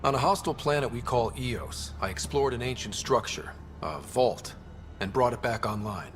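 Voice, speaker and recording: An actor playing an earnest young human explorer and leader in a video game, with a sincere, conversational delivery. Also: male voice, mid-range voice, lively intonation, moderate pace, slight background noise, lightly acted. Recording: faint background ambience.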